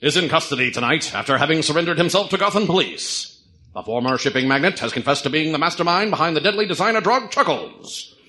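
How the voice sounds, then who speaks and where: A man voicing a brisk, formal television news anchor in a radio drama, announcing crisply and rapidly. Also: fairly high pitch, lively intonation, bright, forceful tone. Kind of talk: newsreader parody in radio drama.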